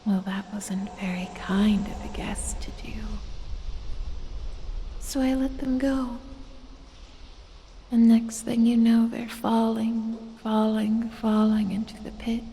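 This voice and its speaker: A woman voicing a sinister lamia, a predatory snake-woman, in breathy whispers with a falsely sweet, possessive menace for an ASMR audio roleplay. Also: fairly high pitch, little pitch movement. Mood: menacing, boastful. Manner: drawn-out, softly taunting, falsely sweet, possessive. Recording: long cavernous reverb.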